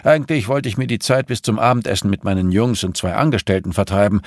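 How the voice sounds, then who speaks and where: A man's voice: lowish voice, fast talker, dry recording, studio quality, lightly acted. A man voicing a jovial, patronizing, muscular rich father with a commanding, expansive manner in an audiobook. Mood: eager, patronizing.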